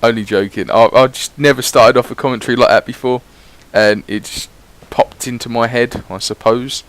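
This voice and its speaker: A man's voice: mid-range voice, fairly even intonation, normal speed, dry recording. A man giving casual, rambling voice-over commentary on his gameplay, swearing freely as he chats. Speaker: gaming YouTuber.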